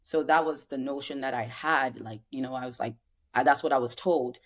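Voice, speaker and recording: A woman's voice: rumbling low, steady tone, fairly quick. Podcast guest, woman in her thirties from Cameroon, chatty and rambling. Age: 30s. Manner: chatty, rambling with many fillers. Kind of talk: casual chat.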